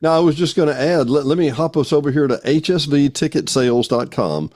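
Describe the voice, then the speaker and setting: A man's voice: on the high side, lively intonation, a little fast, a little mellow, no room sound, clean studio sound. A man hosting a podcast interview in a casual, chatty way, often interjecting with questions and details.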